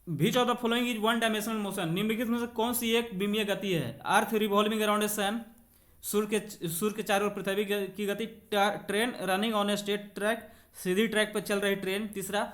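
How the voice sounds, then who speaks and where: A man's voice: sits high, steady tone, measured pace, a bit bright. A man teaching an exam class, working through practice questions, repeating key points and checking in with short confirmations, mixing in English terms. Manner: switches between Hindi and English when reading aloud.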